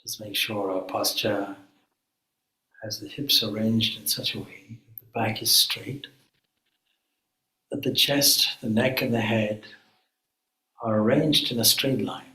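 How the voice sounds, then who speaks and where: A man leading a guided meditation as a spiritual teacher, speaking calmly in measured phrases with frequent pauses. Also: lowish voice. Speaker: swami, guided meditation leader. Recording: echoey room.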